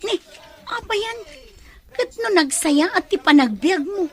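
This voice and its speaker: A woman's voice: extremely high pitch, lively intonation, measured pace. An actress playing a chatty young woman's best friend who gives advice, in an Ilocano radio drama. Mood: encouraging.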